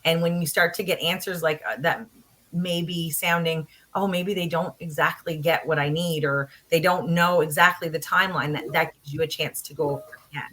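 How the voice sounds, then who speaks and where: Woman instructor, earnest conference speaker, bright and somewhat low-pitched, practical, many fillers. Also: steady tone, normal speed. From Canada. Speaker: college instructor. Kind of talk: conference presentation.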